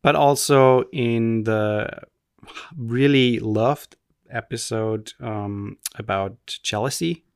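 A man's voice: mid-range voice, slow pace, creaky, clear, resonant tone, no echo, studio quality. Rambling, joking male podcast co-host. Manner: rambling, self-mocking, joking. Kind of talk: casual chat.

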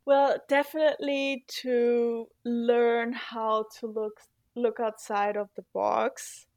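Slow, earnest young Austrian woman. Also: fairly high pitch, level tone, dry recording. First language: German. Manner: thoughtful, earnest, with soft laughs and fillers. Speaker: guest, former exchange student. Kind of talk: podcast interview answers.

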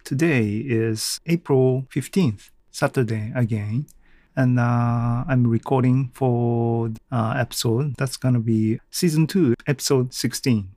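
Male podcast host, slow hesitant casual delivery, dry sound. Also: mid-range voice, warm tone, no echo. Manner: hesitant, halting, self-correcting. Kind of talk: casual solo podcast talk.

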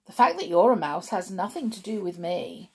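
A woman voicing a loud-mouthed, greedy boy who has been turned into a mouse, brash and indignant. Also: medium pitch, big pitch swings, moderate pace, husky.

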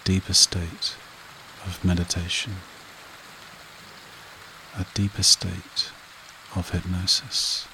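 A man leading a guided meditation and hypnosis in a soothing, repetitive manner with short phrases and long pauses. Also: sits low, slight background noise, rough recording. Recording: rain and running water behind voice. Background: steady rain and stream sounds.

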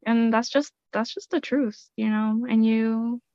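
A woman's voice: a little high, fairly even intonation, unhurried, darkish tone, dry recording. A woman guest on a podcast, giving firm, matter-of-fact advice. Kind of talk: casual podcast discussion. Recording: dull lo-fi audio.

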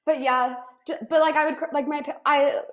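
A woman's voice: high-pitched, fairly even intonation, brisk. A woman in her twenties talking candidly in casual chat, with many "like" fillers. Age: 20s.

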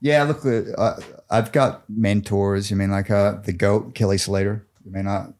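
Man acting as boastful real estate agent, American accent, low voice, deadpan, halting, crude, comedy interview. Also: natural voice. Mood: boastful, relaxed. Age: around 40. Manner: cocky, deadpan, swearing, halting. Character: boastful, crude, self-styled champion real estate agent around forty.

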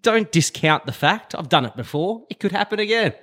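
A man's voice: sits high, animated, brisk, fairly bright tone. Blunt, sweary man in his thirties. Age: late 30s. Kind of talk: casual chat.

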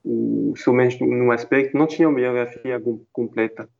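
Halting French man, dull lo-fi sound. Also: mid-range voice, little pitch movement, vocal fry, darkish tone. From France. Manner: hesitant, halting, many restarts and fillers. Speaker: guest. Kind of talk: casual interview chat.

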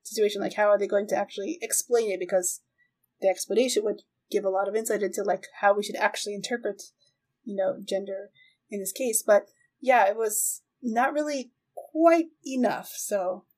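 A woman's voice: medium pitch, fairly even intonation, moderate pace, a little mellow. A woman hosting a podcast, chatting casually in a tentative way with giggles slipping in. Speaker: podcast host. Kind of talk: casual interview chat.

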